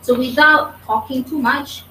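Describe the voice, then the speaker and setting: A woman with a Malaysian English accent and a somewhat high voice opens a workshop, hesitant and informal with frequent tag questions. Her voice rings in an echoey room over a faint background hum. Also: steady tone, measured pace, sharp tone. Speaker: research centre organiser. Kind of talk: opening remarks at a workshop.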